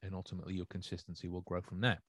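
A man's voice: sits low, monotone, rapid-fire, a bit bright, no room sound, clean studio sound. A man hosting a solo livestream podcast, talking through his thoughts and answering chat with many restarts and fillers. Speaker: podcast host.

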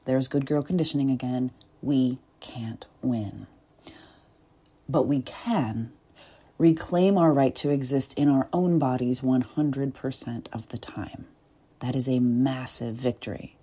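Deep soft-voiced woman, mellow tone, slow thoughtful talk with little whispers. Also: husky. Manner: soft, deliberate, with pauses and whispered asides. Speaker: body-work coach. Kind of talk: reflective spoken essay.

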